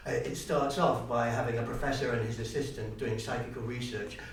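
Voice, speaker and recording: A man's voice: mid-range voice, steady tone, fairly quick. A man auditioning as an amateur actor, moving between monologue and interview chat. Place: university room, audition being filmed. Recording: echoey room, distant mic, faint noise.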